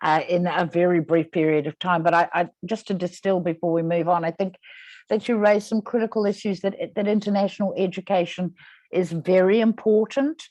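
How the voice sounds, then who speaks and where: A woman moderating a webinar panel, summarizing the speakers' points in a measured, articulate way. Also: on the low side, a little mellow, no room sound.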